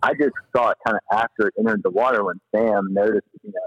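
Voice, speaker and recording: A man telling stories casually as a podcast guest, in an animated, slangy, rambling way. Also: on the low side, fast, dry recording, dull audio. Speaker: podcast guest, paddler and former river guide. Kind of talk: casual storytelling in an interview.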